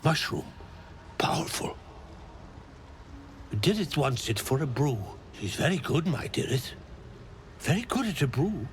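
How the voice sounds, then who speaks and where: An actor playing a confused, earnest mushroom forager in a video game, speaking in halting fragments that trail off and repeat. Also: male voice, a little high, big pitch swings, slight background noise. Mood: rambling, eager.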